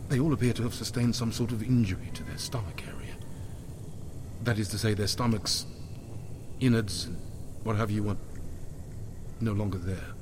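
An actor playing a weary, haunted castaway in an audio drama, halting and formal, sinking into breathy near-whispers. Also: male voice, lowish voice, background noise, close to an everyday voice. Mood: grim, shaken. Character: weary, haunted male castaway reporting horrors with stiff restraint. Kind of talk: dramatic first-person audio drama monologue, recorded-message log.

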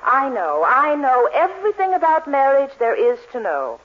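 A muffled old radio recording of a bossy spinster marriage counselor. She is emphatic and know-it-all, her pitch leaping about as she dispenses judgments in sitcom style. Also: big pitch swings, moderate pace. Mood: bossy, dismissive. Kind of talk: 1940s radio comedy acting.

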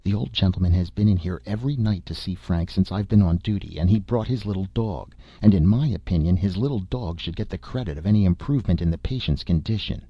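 A man's voice: sits low, quick pace, hardly any pauses, breathy edge, no echo, lo-fi sound. A man voicing a plain-spoken, indignant female nurse with an assertive, snapping manner in an audiobook story. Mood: indignant, firm.

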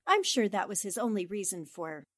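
An actress playing a polite, inquisitive newspaper reporter in the style of a 1940s radio drama. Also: female voice, medium pitch, very animated, normal speed, a bit bright, no echo, studio quality. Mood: gracious. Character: quick-witted woman newspaper reporter.

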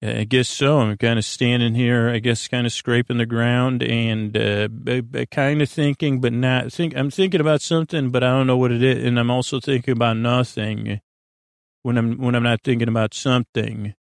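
A man hosts a bedtime podcast in a gravelly, fry-laden voice at an ordinary male pitch. He wanders through his monologue, halting and doubling back on his own sentences. The sound is dry and close, with little room around it. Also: steady tone, no room sound. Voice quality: creaky. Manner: meandering, halting, self-interrupting. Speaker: podcast host. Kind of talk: rambling sleep podcast monologue.

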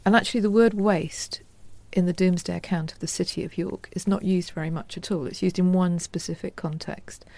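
A woman historian explaining her subject as an interview guest in a measured, scholarly manner. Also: fairly low pitch, steady tone, warm tone, no room sound.

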